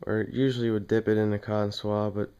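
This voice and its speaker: A man with a fairly low, clean and smooth voice talks through a hands-on demonstration. His intonation stays very flat. He speaks plainly and casually, halting now and then as he works in a small room at home. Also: lo-fi sound. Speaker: demonstrator in a home how-to video. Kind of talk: casual hands-on tutorial talk.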